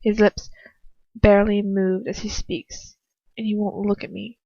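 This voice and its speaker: A woman narrates a story in the first person with plenty of feeling. Her delivery is a little halting, and the dry home recording sounds dull and lo-fi. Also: medium pitch, little pitch movement, vocal fry, warm tone, dry recording. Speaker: amateur fan fiction reader.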